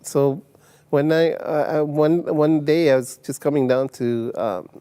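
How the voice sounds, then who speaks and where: A man in his sixties from Uganda and later England, telling his personal story as an interview guest in a halting way, often repeating words. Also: on the high side, warm tone, clear, resonant tone. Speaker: testimony guest.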